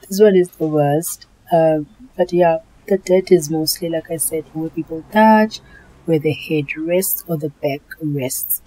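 A South African woman narrating her cleaning vlog in a relaxed, chatty voice-over, often repeating herself. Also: sits low, slow pace, husky, poor audio. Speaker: home cleaning vlogger.

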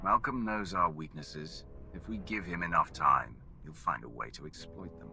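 A terse, deep-voiced game commander, gravelly with bright edge, music bed under. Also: male voice, animated, moderate pace, full character voice. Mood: commanding. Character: commanding male Sith warrior leader, cold. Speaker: player character, alliance commander. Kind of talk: video game voice acting. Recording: game audio with music score.

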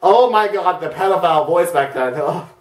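A teenage boy reacting to his own old videos in his bedroom, embarrassed and excitable, jumping between mutters and yelps. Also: fairly high pitch, moderate pace, roomy sound, recorded from a distance, background noise. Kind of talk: casual reaction commentary. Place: bedroom, indoors, hugging a big stuffed bear.

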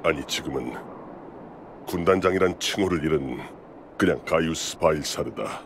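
A man playing a stern, middle-aged former imperial legion commander in a game cutscene, speaking in a grave, formal, measured way. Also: a bass voice, slight background noise. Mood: solemn. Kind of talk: scripted game cutscene acting.

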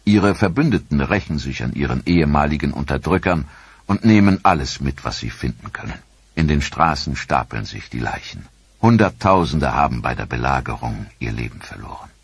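Very deep grave documentary narrator, a man. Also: lively intonation, slightly rough, no echo. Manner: grave, measured.

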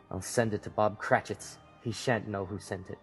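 A man acts out an old Victorian miser turned kindly, hearty and courteous. His voice sits low and rings in a long hall reverb, with faint music underneath. It is audio drama storytelling. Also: steady tone, takes it slowly. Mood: gleeful. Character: reformed old miser, gleeful and kindly uncle.

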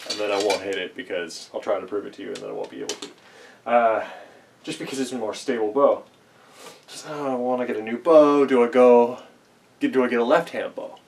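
A young man musing casually to camera, rambling with lots of fillers and self-deprecating asides. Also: fairly low pitch. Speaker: archery vlogger. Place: small basement archery range, indoors. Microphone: camera mic at a few meters while he moves and shoots. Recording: distant camera mic with faint noise.

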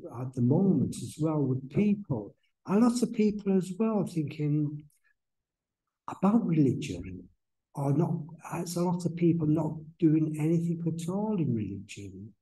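An older man giving a soft-spoken, rambling spiritual talk at a church lectern, full of restarts and fillers. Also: a little high, rough recording. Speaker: guest speaker, medium. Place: church hall, indoors. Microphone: stand mic at lectern.

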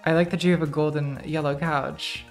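A woman in her thirties with a very soft, quiet voice, chatting casually to her live-stream chat while playing a game, self-deprecating and laughing often. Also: low-pitched, steady tone, normal speed, faint music behind. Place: home streaming room, indoors.

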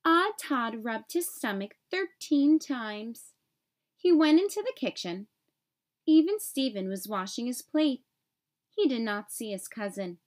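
Woman reading a kids picture book, slow and expressive, voice a bit high. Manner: expressive, clearly paced for young children. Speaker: read-aloud storyteller. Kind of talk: children's picture book narration.

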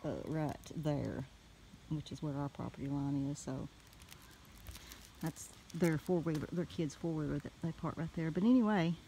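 A woman with a Southern US accent telling a story casually in a folksy, animated way, recorded on a handheld phone outdoors. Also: sits low, normal speed, gravelly, a little hiss or hum, poor audio. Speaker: homestead vlogger. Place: outdoors in a wooded rural yard.

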